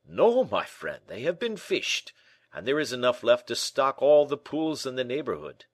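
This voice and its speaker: A man voicing a vain, rich, big nobleman in an audiobook, slow, emphatic and melancholy. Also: medium pitch, big pitch swings, pitched above the performer's own voice. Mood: gloomy. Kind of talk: audiobook character acting.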